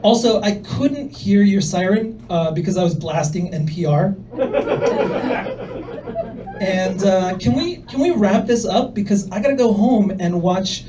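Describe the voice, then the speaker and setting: Soft-voiced young man, slow stand-up. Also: high voice, crowd noise. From El Salvador. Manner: hesitant, filler-heavy deadpan delivery. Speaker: stand-up comedian. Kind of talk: stand-up set. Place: comedy club. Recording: voice from far off the mic.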